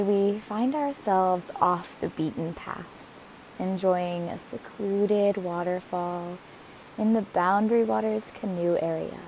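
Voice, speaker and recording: Woman doing guided meditation, really slow and soothing, lowish voice, bit of hiss behind her. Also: rough recording. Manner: gentle, soothing, deliberate phrasing. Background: hiss, rushing waterfall water.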